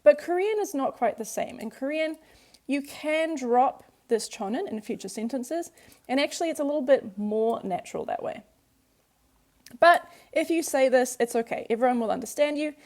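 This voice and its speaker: A woman's voice: sits high, expressive, moderate pace, a little mellow. A woman whose first language is English, teaching a workbook lesson and patiently working through examples step by step. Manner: patient, walks through examples step by step, repeats key points.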